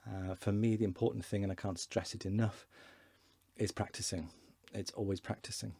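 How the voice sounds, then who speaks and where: A man in his forties, a fluent Welsh speaker, giving a relaxed, chatty beginner lesson from his desk with hesitations and repeated words. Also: lowish voice, normal speed, creaky, slightly rough, no echo. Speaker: Welsh language teacher. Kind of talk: casual online lesson.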